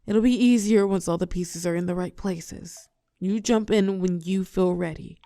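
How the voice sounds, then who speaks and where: Woman acting as a weary female supervillain, lowish voice, gravelly fry, tender yet menacing, intimate audio roleplay, dramatic pauses. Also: warm tone, no echo, clean studio sound, lightly acted. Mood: tender, soothing. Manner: soothing pet names, wry bitterness, dramatic pauses, drops into whispers.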